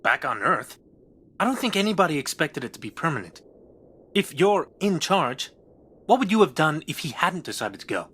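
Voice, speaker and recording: A man's voice: fairly high pitch, very animated, moderate pace, pushed and bright, husky, full character voice. A man playing a brash, cocky robot who argues in a sarcastic, needling way in an audio drama. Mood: sarcastic, challenging. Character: brash, sardonic male robot rival, cocky and argumentative.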